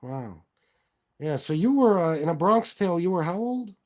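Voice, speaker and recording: A man in his forties hosting a casual interview chat, relaxed and agreeable, reading out viewer questions. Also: high-pitched, normal speed. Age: 40s. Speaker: host.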